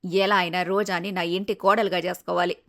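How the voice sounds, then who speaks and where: A woman voicing a fond, scheming older mother in an animated moral story. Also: lowish voice, brisk. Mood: fond, musing.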